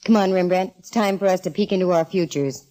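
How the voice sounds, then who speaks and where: An actress in a 1940s radio drama playing a breezy, self-assured private eye, with wry, slangy delivery. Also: female voice, on the low side, fast, warm tone, soft background music, lightly acted. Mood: wry narrating. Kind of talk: 1940s radio acting, wry first-person detective narration and dialogue.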